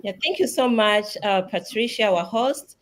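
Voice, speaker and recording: A woman's voice: medium pitch, normal speed, a little hiss or hum. A woman from Kenya introducing herself on a call, earnestly listing her roles in short sentences. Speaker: mentor and business owner.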